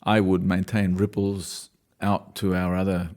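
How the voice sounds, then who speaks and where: Australian guy, deepish voice, talks slow and thoughtful, keeps restarting sentences. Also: little pitch movement, darkish tone. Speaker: dancer and choreographer, class leader. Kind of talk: reflective interview answers.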